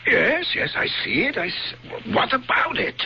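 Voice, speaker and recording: An actor playing an earnest, gently coaxing friend who also narrates the tale, in old-time radio drama style. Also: male voice, very high-pitched, sing-song, moderate pace, creaky, breathy edge, exaggerated voice. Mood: surprised, reassuring. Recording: dull, faintly noisy lo-fi radio recording.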